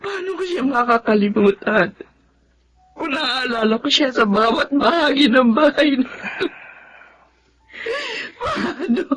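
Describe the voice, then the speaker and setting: An actress playing a lonely, grieving daughter in a radio drama, tearful, halting and pleading. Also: female voice, sits high, lively intonation, fairly bright tone, slightly rough, heavily acted. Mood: sobbing, despairing. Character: grieving lonely daughter who cared for her sick mother. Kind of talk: Tagalog radio drama acting. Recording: dull lo-fi radio drama sound.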